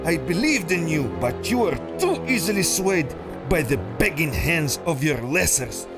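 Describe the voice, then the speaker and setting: A man's voice: a little high, very animated, measured pace, over music. An actor playing a pompous, scheming male villain who is a thief of antiquities, haughty and theatrical. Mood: cold. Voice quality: haughty and theatrical, spits insults. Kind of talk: audio drama acting.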